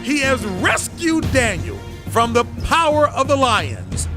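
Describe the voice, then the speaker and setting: Commanding king, very high straining pitch, sweeping intonation, declamatory, audio Bible drama, music behind. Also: very animated, takes it slowly, exaggerated voice. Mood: exultant proclamation. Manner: declamatory, impassioned. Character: anguished, commanding king issuing royal decrees.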